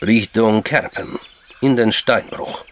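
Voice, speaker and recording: Low-voiced, measured intelligence man. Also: a bit bright, a little hiss or hum. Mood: calm, explaining. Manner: deliberate, formal, explanatory. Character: measured Georgian intelligence man, calmly knowing. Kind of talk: German crime radio drama dialogue.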